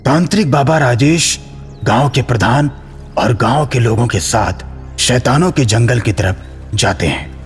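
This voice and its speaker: A man's voice: mid-range voice, expressive, a little slow, long reverb tail, faint music behind. A man giving scripted narration for a horror story.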